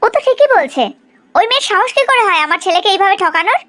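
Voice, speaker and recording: A woman's voice: extremely high pitch, expressive, quick pace, fairly bright tone. A woman playing an indignant, bossy middle-aged mother in a cartoon family drama, scolding and exclaiming.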